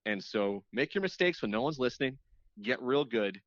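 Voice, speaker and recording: A man's voice: medium pitch, lively intonation, moderate pace, no room sound. A man talking as an interview guest in a casual, reflective, chatty way, circling back and repeating words for emphasis. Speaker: radio host appearing as a podcast guest. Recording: slightly dull, lo-fi audio.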